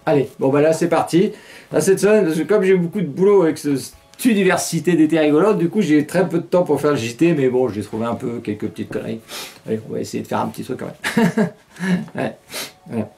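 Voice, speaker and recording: A man's voice: fairly high pitch, a little fast, gravelly. A middle-aged man hosting a satirical news show in a small studio, talking casually and mockingly, laughing often between jokes. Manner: sarcastic, laughs often, animated gestures. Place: small studio with green-screen news set.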